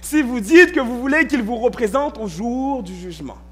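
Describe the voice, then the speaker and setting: A male pastor preaching a sermon in a church, stressing key words and drawing them out, at times rising to a shout. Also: very high-pitched, animated, moderate pace, breathy edge.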